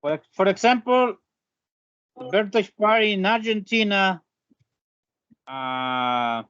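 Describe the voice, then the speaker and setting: A man's voice: high voice, animated, slow pace, a bit bright, clear, resonant tone, dry recording. A male student answering hesitantly in an English class. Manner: hesitant, searching for words. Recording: dry, muffled call audio.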